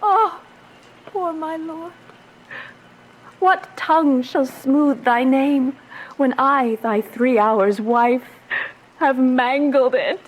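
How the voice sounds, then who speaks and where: A woman playing an anguished, lovestruck young noble bride in a radio Shakespeare tragedy, with impassioned, drawn-out verse delivery. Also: high voice, heavily acted, rough recording. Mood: remorseful.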